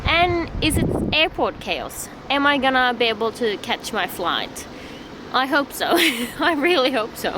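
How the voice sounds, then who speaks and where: A young woman from Sweden with a Swedish accent, chatting excitedly in a casual travel vlog and laughing often. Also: high voice, a little slow, noisy background. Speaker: vlogger.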